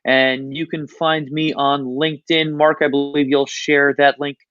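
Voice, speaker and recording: A man speaking as an interview guest on a podcast, explaining things in a measured conversational way over slightly lo-fi audio. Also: on the high side, flat delivery, a bit bright. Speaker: podcast guest, podcast industry professional.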